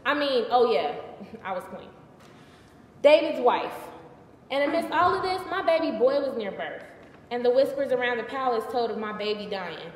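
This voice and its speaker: A woman's voice: fairly high pitch, expressive, normal speed, natural voice. College girl doing a wronged bible queen onstage, wry and sassy, roomy echo, faint noise. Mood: mournful, bitter. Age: early 20s. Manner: conversational, sassy, emphatic, exasperated. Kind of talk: live theatrical monologue. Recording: distant mic, echoey hall, faint noise.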